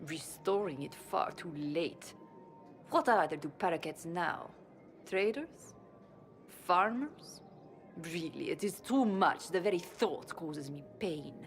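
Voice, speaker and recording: Haughty creaky-voiced noblewoman. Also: low-pitched, full character voice. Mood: scornful. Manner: condescending, languid, snobbish. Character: haughty, disdainful female Orlesian government minister. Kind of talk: video game cutscene acting.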